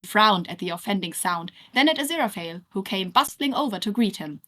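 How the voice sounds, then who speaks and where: A woman reading fan fiction aloud as an expressive scripted narration. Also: mid-range voice, fairly quick.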